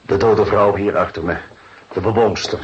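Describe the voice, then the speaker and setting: A man playing a seasoned, drily observant police detective in a radio drama, speaking in a measured, musing way. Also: on the low side, fairly even intonation, natural voice. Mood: sober, businesslike. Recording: muffled radio recording with faint noise.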